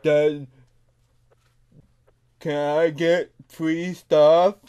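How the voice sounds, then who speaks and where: A man playing a childlike, confused, easily upset grown man on a prank call, halting and repeating words insistently. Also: fairly high pitch, little pitch movement, mellow, smooth, lo-fi sound. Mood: puzzled, insistent.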